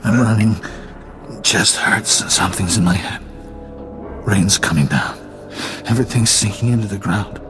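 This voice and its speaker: An actor voicing a drugged, suffering male slave in a video game, halting and disoriented as he rambles. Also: on the low side, music in the background, lightly acted. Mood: dazed, pained. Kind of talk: scripted video game dialogue.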